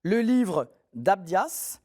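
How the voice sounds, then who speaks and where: Lively, encouraging quiz show host, man. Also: high-pitched, very slow. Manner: friendly, encouraging, clear question reading. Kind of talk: TV quiz hosting, reading questions.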